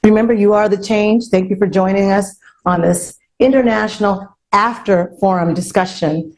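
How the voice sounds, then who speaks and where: A woman's voice: medium pitch, fairly even intonation, moderate pace, a little mellow. A woman hosting a live online discussion, talking conversationally and encouragingly, repeating phrases for emphasis. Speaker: host.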